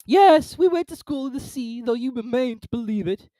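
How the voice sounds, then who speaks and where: A reader voicing the Mock Turtle, a sorrowful old sea creature who talks slowly with heavy sighs. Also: mid-range voice, animated, mellow, dry recording, voice pushed up, natural voice. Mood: mournful. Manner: slow, sighing, drawn-out delivery.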